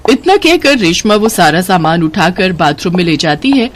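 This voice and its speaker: A woman's voice: lowish voice, sing-song, moderate pace, noisy background. A woman narrating a scripted moral story as the storyteller.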